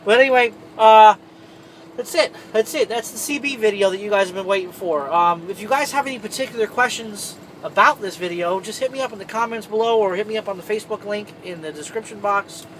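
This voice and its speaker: A man's voice: high voice, brisk, a bit bright, a little hiss or hum, rough recording. A man giving an informal, conversational hands-on tutorial, talking directly to viewers like a trucker hosting his own video. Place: inside a semi truck cab.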